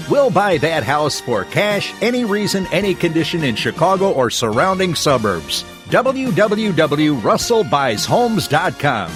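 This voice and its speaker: A man announcing a commercial as a scripted sales pitch. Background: music bed.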